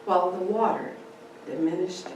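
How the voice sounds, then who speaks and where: A woman giving a theatrical, deliberate dramatic reading of a first-person story. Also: on the low side, steady tone, darkish tone. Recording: echoey room, distant mic, faint noise.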